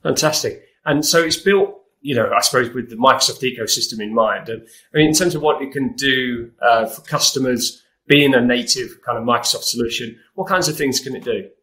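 A man's voice: mid-range voice, brisk, bright, forceful tone. A man hosting a conversational product interview, putting questions to a guest. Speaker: interviewer, host.

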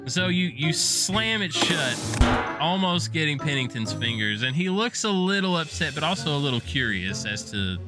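A man's voice: on the high side, very animated, normal speed, over loud music. A man acting as game master, narrating a tabletop roleplaying scene in casual, improvised style.

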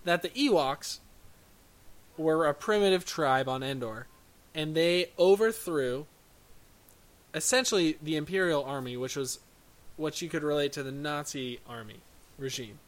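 Male podcast co-host, unhurried, fairly high voice, stumbles and restarts. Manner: halting, restarts a lot, laughs easily. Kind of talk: casual movie review chat.

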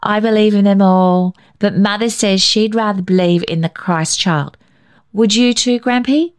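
A woman's voice: medium pitch, measured pace, clear, resonant tone, voice pushed up, natural voice. A woman voicing an eager little boy talking to his grandfather in a storybook reading.

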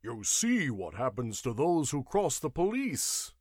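A man's voice: mid-range voice, wildly swooping pitch, measured pace, husky, no room sound, clean studio sound, pitched above the performer's own voice, full character voice. Male reader as thuggish guardsman, growling, bellowing, domineering, swaggering, self-important, fantasy audiobook. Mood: contemptuous.

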